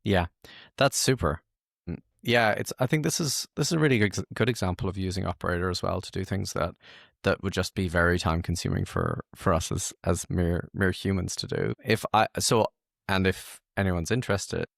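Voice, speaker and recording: A man with a low voice and a gravelly edge hosts a casual interview. He talks haltingly, circling back to restart phrases, in a dry, close sound. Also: lively intonation, no room sound. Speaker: host.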